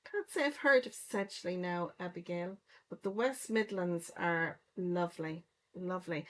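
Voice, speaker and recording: A middle-aged woman from County Clare with a soft Irish accent, chatting casually while she works through a card-making livestream. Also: fairly low pitch, rough recording. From Ireland, County Clare. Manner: chatty, explains each step while working. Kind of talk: casual crafting livestream chat.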